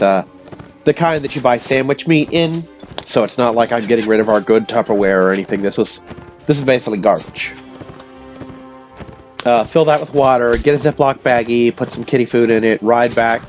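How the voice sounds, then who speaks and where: A man with a hoarse voice from illness, telling rambling stories casually to his audience. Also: medium pitch. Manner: meandering, self-interrupting, chatty. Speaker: vlogger.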